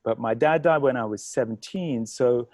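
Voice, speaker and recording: Man from england, mid-pitched voice, dry close sound, halting and soft, interview guest. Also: dry recording. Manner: halting, many restarts and fillers, soft-spoken. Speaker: artist guest. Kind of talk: conversational interview answers.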